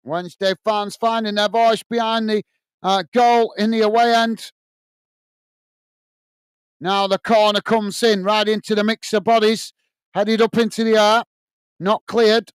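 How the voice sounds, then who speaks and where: A British man with a tiring, slightly hoarse voice giving live play-by-play commentary on a football match for a fan watch-along channel, chatting to viewers as he goes. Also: high voice, measured pace, pauses often, dry recording. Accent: British English. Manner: steady running commentary, talks to viewers as guys. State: voice tired and going hoarse.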